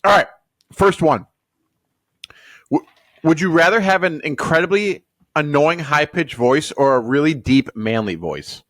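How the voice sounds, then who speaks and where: A man's voice: a little high, lively intonation, a little slow, long pauses, fairly bright tone, no room sound. A man hosting a casual comedy podcast, asking questions in a laid-back way and swearing freely.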